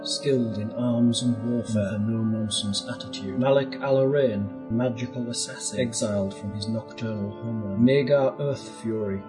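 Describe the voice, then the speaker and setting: A man giving a grand, measured scripted introduction to a fantasy game show. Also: on the low side, steady tone, poor audio. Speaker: intro narrator. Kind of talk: scripted narration. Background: music.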